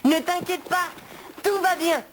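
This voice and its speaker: Cheerful boy over loud noise. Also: very high voice, unhurried. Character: cheerful, eager young boy, a cartoon hero. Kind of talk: French cartoon dubbing. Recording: loud background ambience noise.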